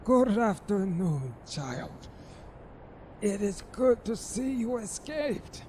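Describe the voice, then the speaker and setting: An actor playing a dying, badly injured man in an audio drama, courtly, formal and gently wry as the character labours to speak. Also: mid-range voice, very animated, a little hiss or hum. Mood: wry, resigned. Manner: slow, formal, gently ironic.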